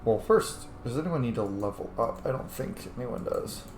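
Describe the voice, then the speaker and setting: Casting: male let's play streamer, mid-pitched, informal, in an echoey room. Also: lively intonation, moderate pace, a little mellow. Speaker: gaming streamer, player. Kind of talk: casual let's play commentary. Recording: roomy echo, faint game noise.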